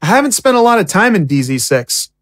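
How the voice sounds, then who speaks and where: A woman's voice: mid-range voice, big pitch swings, a little fast, dry recording, studio quality, close to an everyday voice. A woman playing an impatient, outspoken young prisoner in an audio drama, with a casual, indignant way of talking. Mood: frustrated.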